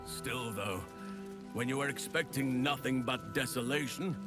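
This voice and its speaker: An actor playing a weary, earnest elven archdruid in a fantasy game, speaking in a measured, solemn way. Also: male voice, medium pitch, over music, natural voice. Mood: wistful. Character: weary, earnest elder elf archdruid, male. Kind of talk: fantasy game character acting.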